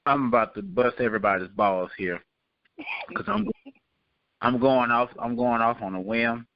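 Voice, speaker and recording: A man's voice: medium pitch, animated, normal speed, no room sound. A man co-hosting a podcast with casual, playful, emphatic banter. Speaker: podcast co-host. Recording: slightly dull, dry radio-like sound.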